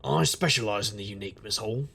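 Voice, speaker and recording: An actor playing a wry, world-weary supernatural private detective, narrating a noir audio drama in a gravelly, husky, deadpan voice. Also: male voice, lowish voice, fast talker, heavily acted. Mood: dry, weary.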